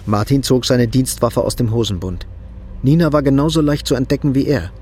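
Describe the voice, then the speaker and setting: An actor narrating a radio drama thriller in a sober, literary manner. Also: male voice, mid-range voice, fairly even intonation, brisk, darkish tone, slight background noise, natural voice. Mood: sober, tense. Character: sober male narrator of a tense escape thriller.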